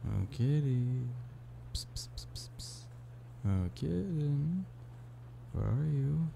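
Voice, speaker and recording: A man's voice: a little high, slow, creaky, heavily acted. A man playing an affectionate, playful boyfriend in an ASMR roleplay, teasing and pleading in an intimate one-sided conversation. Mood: searching, affectionate. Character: playful, affectionate human boyfriend coaxing a stubborn cat-person. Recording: steady background noise of rain. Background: rain.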